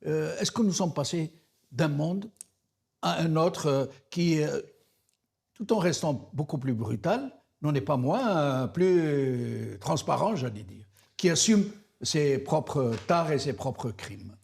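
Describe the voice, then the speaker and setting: A man's voice: fairly high pitch, lively intonation, slow pace, gravelly, a little mellow. A man interviewing on a political programme, searching for his words and building long, rhetorical questions with repetitions. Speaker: interviewer, TV host.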